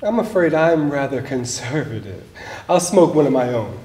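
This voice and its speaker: A man's voice: mid-range voice, very animated, moderate pace, dark tone, close to an everyday voice. A stage actor in his forties playing a proud, dutiful officer with clipped, old-fashioned genteel phrasing. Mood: polite, curious. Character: gallant, dutiful male officer and royal messenger, charmed but proud. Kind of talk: live theatrical stage acting. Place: theater stage, indoors. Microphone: distant camera. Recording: echoey theater stage, faint hiss.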